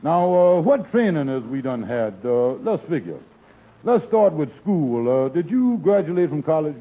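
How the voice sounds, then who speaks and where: A smooth-talking con man, husky, lively delivery, 1940s radio comedy, tinny broadcast. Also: a little high, unhurried. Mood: scheming. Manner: wheedling, fancy-word talk, dialect speech. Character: scheming, smooth-talking male would-be promoter.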